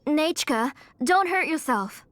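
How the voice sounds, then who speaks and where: A woman playing a caring but stern older auntie in a video game, measured and earnest. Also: high voice, animated, fairly bright tone, heavily acted. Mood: anxious scolding. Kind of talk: video game dialogue acting.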